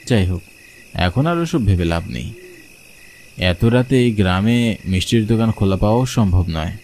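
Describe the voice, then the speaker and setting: A man's voice: lowish voice, lively intonation, moderate pace, darkish tone, a little hiss or hum. A man narrating a scripted horror story in the first person, as a storyteller.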